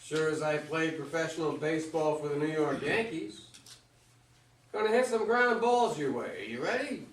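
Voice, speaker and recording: A man playing a calm, encouraging old retired baseball star in an amateur play reading. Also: a little high, moderate pace, slight background noise, natural voice. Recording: echoey room, far from mic.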